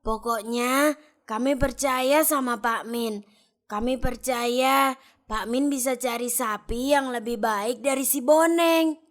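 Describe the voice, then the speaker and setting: A woman's voice: on the high side, slow. A trusting old grandmother.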